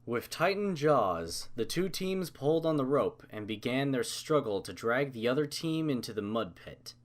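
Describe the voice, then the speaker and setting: Man, scripted audiobook narration, mid-pitched, lively intonation. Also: moderate pace.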